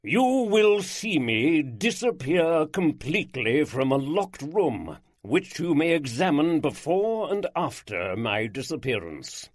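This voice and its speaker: A man's voice: mid-range voice, sing-song, dry recording. A man doing a pompous lord with an aristocratic drawl. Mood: grandiose. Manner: grand, theatrical, slow emphatic phrasing. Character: flamboyant aristocratic lord, rich baritone drawl.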